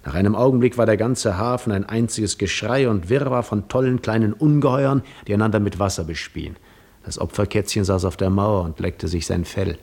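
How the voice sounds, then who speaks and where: An actor playing a weary, proud seafarer lost in memories, in a poetic, theatrical 1950s radio monologue. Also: male voice, on the low side, steady tone, a little fast, a little mellow, breathy edge. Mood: wistful, reflective. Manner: poetic, reflective, theatrical delivery.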